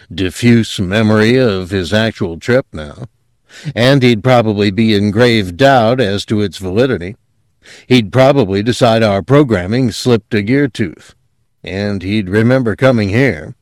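A man's voice: fairly low pitch, a little slow, a little mellow, no room sound, clean studio sound, close to an everyday voice. A man voicing a matter-of-fact male memory technician in an audiobook, explaining things in a precise, analytical manner. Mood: measured, doubtful.

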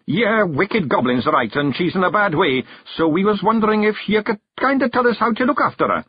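Excitable little Scottish fairy fellow, very high, swooping, naive, eager chatterer, storybook. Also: male voice, brisk, slightly rough, no echo, lo-fi sound. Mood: eager, earnest. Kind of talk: audiobook character voice.

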